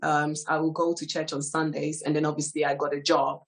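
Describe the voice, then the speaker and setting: Woman from ghana, low voice, soft-spoken, halting testimony, lots of fillers. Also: level tone. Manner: soft-spoken, hesitant, frequent "um" and "obviously". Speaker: guest giving testimony. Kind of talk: personal testimony in an interview.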